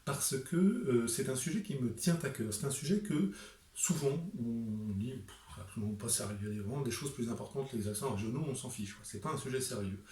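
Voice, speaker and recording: A middle-aged man talks straight to the camera about his book, pressing his points with rhetorical questions and frequent hesitations. His voice is mellow and dark. It sounds roomy and a little far from the mic. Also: medium pitch, a little fast. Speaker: author presenting his book. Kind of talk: direct-to-camera book presentation.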